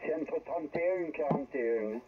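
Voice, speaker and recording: A man's voice: on the high side, normal speed, a crowd in the background. An older man talking in a casual, hesitant, meandering way as an amateur radio operator on air. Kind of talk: casual ham radio chat. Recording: dull, faintly noisy amateur radio transmission.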